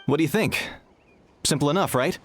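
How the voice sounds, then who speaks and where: A man's voice: a little high, fairly quick, faint music behind, natural voice. An actor playing a young male arena worker in a video game, practical and easygoing, explaining things conversationally. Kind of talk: video game dialogue acting.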